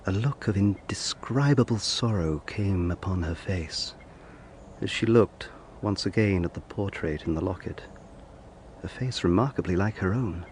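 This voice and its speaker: An actor playing an earnest, persistent young gentleman lawyer in a period audio drama, speaking formally. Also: low voice, a little slow, slight background noise. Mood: reflective narration. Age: young adult. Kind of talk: British period audio drama, narration and dialogue.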